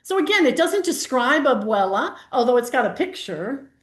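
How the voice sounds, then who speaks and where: A woman's voice: sits high, very animated, normal speed. A woman hosting a casual group discussion over a video call, chatting and reading bits of a picture book aloud. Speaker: workshop host. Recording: roomy echo.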